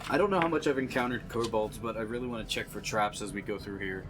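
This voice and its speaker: A man with a lowish voice talks casually as a tabletop game player. He improvises what his character does. The recording has clear background noise. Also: fast. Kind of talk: casual improvised roleplay chat. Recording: clearly noisy room sound.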